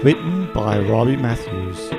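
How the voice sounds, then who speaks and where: A man's voice: medium pitch, very animated, unhurried, gravelly. A man announcing the title and credits of a radio play in a scripted style. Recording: loud guitar music behind.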